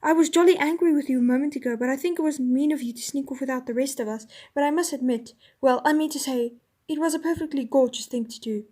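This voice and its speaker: Excitable schoolboy, voiced by a woman, exclaiming, high. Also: fast talker, close to an everyday voice. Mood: excited.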